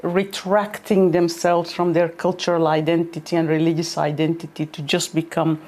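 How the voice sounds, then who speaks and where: A woman on a discussion panel talks in a reflective, measured way. Her voice sits a little low. She takes her time with her thoughts. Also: level tone, darkish tone.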